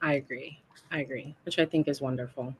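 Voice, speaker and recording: A woman co-hosting a casual podcast chat, talking in an animated, chatty way and thinking aloud as she goes. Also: rumbling low, a little fast, husky. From Puerto Rican heritage, raised in the US. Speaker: podcast co-host.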